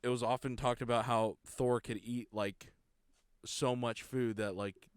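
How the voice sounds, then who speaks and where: Rambling young man, smooth dry voice. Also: steady tone, measured pace, no echo. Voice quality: low voice. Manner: rambling, laughs often, many restarts. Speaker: podcast co-host. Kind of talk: casual chat.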